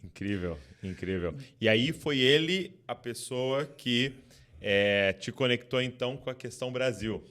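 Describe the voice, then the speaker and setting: A man's voice: medium pitch, a little slow, fairly bright tone. A man hosting a casual podcast interview, chiming in with short affirmations and prompting questions. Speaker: podcast host.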